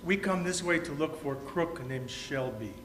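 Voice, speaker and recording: A man's voice: fairly high pitch, expressive, normal speed, a bit bright. Man playing a terse, loyal Western scout, echoey. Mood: stolid, earnest. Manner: speaks in clipped, broken English. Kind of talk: old-time Western radio re-enactment. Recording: roomy, echoey with background noise.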